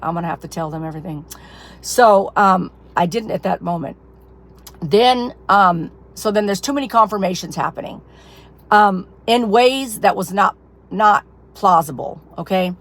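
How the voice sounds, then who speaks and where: A woman who is losing her voice, telling a rambling personal testimony to her followers, full of fillers. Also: medium pitch. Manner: rambling, filler-heavy storytelling. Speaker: ministry leader. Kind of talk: casual personal testimony to camera.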